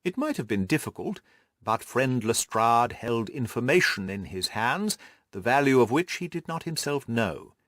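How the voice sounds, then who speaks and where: Audiobook gentleman detective, played by a male reader: assured, methodical, very animated pitch movement, close dry sound. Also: moderate pace, no echo, natural voice. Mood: analytical, assured. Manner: precise, methodical, didactic explaining. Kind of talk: audiobook character dialogue.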